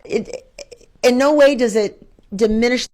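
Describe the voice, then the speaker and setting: A woman's voice: fairly high pitch, a little slow. A woman answering interview questions as a guest, a schoolteacher who talks reflectively and expressively in a conversational way. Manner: reflective, expressive, quotes her own thoughts and asks rhetorical questions.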